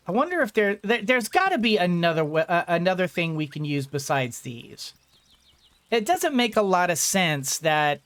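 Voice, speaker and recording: A gaming video host giving casual let's-play commentary, thinking out loud in fragments with playful asides. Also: medium pitch, clean tone.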